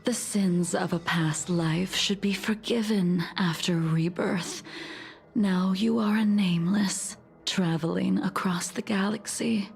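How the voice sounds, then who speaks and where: A woman playing a solemn, weary woman who seeks to atone for her past sins, in a measured video game performance. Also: medium pitch, vocal fry, soft background music. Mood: grave.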